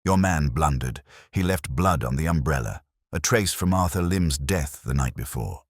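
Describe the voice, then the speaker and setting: A man voicing a commanding, shrewd detective in an audiobook, speaking in deliberate, authoritative statements. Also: rumbling low, animated, no room sound, clean studio sound. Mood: accusing, forceful.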